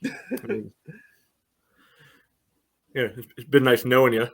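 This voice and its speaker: A man's voice: mid-range voice, big pitch swings, takes it slowly. A man giving casual match analysis as a podcast co-host, matter-of-fact and often padding his sentences with "you know".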